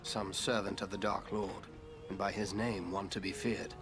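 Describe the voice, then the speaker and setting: An actor playing a grave, commanding ranger chieftain in a fantasy game, speaking in a measured, guarded way. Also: male voice, deep voice, flat delivery. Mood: low, grave. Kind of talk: dramatic fantasy game dialogue. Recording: orchestral game music behind.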